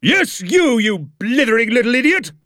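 A man voicing a bullying, contemptuous military schoolmaster with a menacing, drawn-out, emphatic delivery in an audiobook story. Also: extremely high pitch, very animated, a bit bright, no room sound, studio quality, voice pushed up, exaggerated voice. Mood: furious. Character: furious bullying schoolmaster, old military captain, barking contemptuously.

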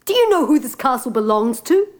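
A woman's voice: high voice, sing-song, darkish tone, voice pushed up. Woman voicing a jittery young prince. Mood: flustered. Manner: fast, flustered, stammering. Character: jittery young male prince, a nervous prisoner.

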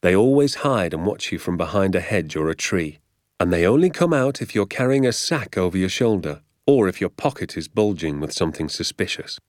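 A man's voice: low-pitched, lively intonation, fairly quick, darkish tone, dry recording, clean studio sound. A man voicing a fond, sly, proud father in a storybook, with an easygoing, assured manner. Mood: reassuring. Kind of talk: storybook character voice.

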